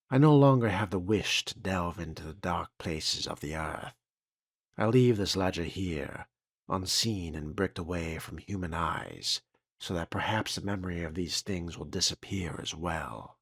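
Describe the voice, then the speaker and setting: Man reading as a deep-voiced, weary, solemn foreman. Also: vocal fry, breathy edge, dry recording, studio quality, heavily acted. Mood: solemn, resigned. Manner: solemn, formal, deliberate. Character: weary, resigned old-time utility foreman reading his letter. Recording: loud music behind the voice.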